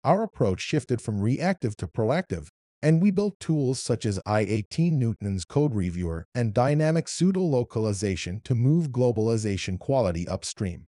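A man in his fifties from Iran with an Arabic-influenced accent, explaining his career in a measured way as an interview guest. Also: medium pitch, sing-song, warm tone, no echo, studio quality. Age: 50s. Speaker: interviewee, localization leader. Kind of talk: read interview answers.

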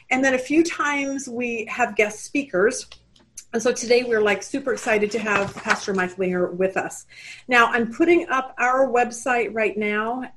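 A woman hosts a Bible study conference over a video call. Her voice sits a little high, and she talks in a chatty, rambling way, with plenty of fillers and restarts. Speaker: host of women's Bible study webinar. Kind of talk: casual webinar hosting.

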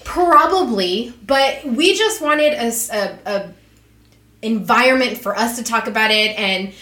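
A woman's voice: a little high, expressive, a little slow, pushed and bright, reverberant. A thirty-year-old woman co-hosting a podcast, chatting in a bubbly, jokey way and swearing casually, close to the mic. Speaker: podcast co-host. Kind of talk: casual chat.

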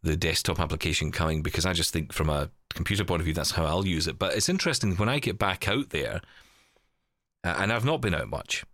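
Low-voiced guy doing a casual podcast chat, candid, kinda hesitant, very dry close sound. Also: expressive, fast, a bit bright, no echo. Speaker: podcast host.

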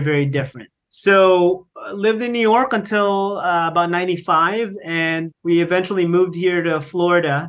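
Fairly high-pitched Filipino-born man explaining. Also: fairly bright tone. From Philippines. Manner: explanatory, filler-heavy with frequent restarts. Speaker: guest, company founder. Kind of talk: conversational interview.

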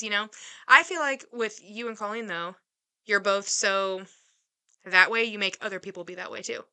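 A woman in her twenties co-hosting a casual chat, earnest and reassuring, who speeds up when she gets excited. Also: mid-range voice, smooth, low-quality recording. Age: 20s. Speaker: podcast co-host.

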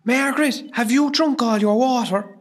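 A woman's voice: on the high side, normal speed, lightly acted. A woman playing a dutiful, caring, firm hospital nurse in a radio play, attentive and instructing. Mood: concerned. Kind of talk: amateur radio play acting.

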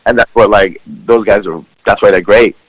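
A man's voice: lowish voice, normal speed, slightly rough, no room sound, dull audio. A man whose first language is Farsi, a professional wrestler talking as a guest in a casual podcast interview, rambling with many fillers.

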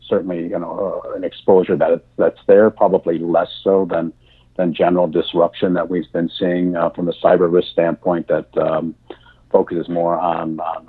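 A deep-voiced man whose first language is German, talking slowly through his answers with a lot of ums and restarts. His voice is husky and comes through a thin, phone-like line. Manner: halting, many fillers and restarts. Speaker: insurance industry guest. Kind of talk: podcast interview answers.

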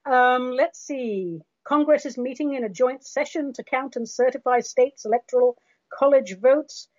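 A woman's voice: sits high, normal speed, a little mellow, highs cut off. Theatrical London woman podcast host. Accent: London English. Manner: theatrical, sardonic, addresses listeners as darlings. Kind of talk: monologue podcast.

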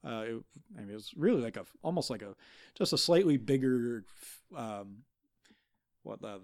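A man's voice: medium pitch, animated, no room sound, lightly acted. A man doing a flustered guest expert who stumbles through every sentence, restarting and searching for words. The voice is recorded close and dry, with no room sound. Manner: halting, full of fillers and restarts. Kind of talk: improvised comedy podcast.

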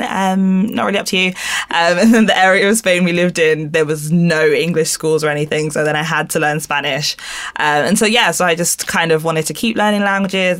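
A woman's voice: sits low, fairly bright tone. Chatty young woman, native Shona speaker. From Zimbabwe. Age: 20s. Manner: chatty with many fillers like um. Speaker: podcast guest, former student. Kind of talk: casual interview chat.

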